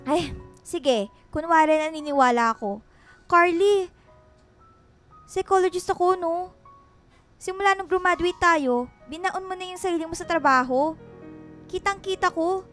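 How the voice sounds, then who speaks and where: An extremely high-voiced, bossy young woman teases her best friend in a Filipino radio drama. She is slangy and exclamatory. Soft music sits behind her. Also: unhurried, pauses often. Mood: hurt, nagging.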